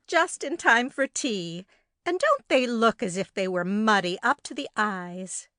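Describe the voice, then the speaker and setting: Woman playing a brisk, chattering hostess aunt, close and dry. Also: a little high, expressive, pushed and bright, dry recording. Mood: bright, excited. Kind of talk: dramatic audiobook reading.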